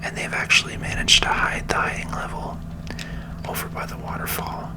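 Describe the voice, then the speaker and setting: A person whispering gentle, unhurried and reassuring ASMR commentary while playing a video game, in a breathy, unvoiced voice. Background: video game music.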